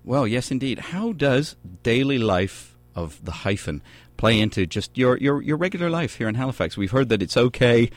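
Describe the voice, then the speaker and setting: A man's voice: medium pitch, lively intonation, moderate pace, hardly any pauses, no echo. A man hosting a radio studio panel, hesitant and searching for his words. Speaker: radio show host.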